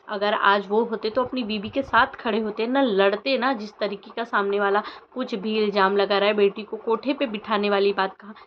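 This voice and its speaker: A woman's voice: medium pitch, muffled recording, slight background noise. A woman vlogger giving opinionated commentary to her viewers in an insistent way, with pointed rhetorical questions. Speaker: vlogger commenting on social media controversy.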